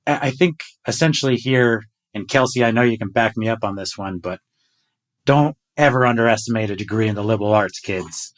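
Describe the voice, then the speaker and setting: A man's voice: fairly low pitch, a little fast. A middle-aged man hosting a podcast conversation over a video call, enthusiastic as he shares numbers with his team. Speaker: podcast host. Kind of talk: casual chat.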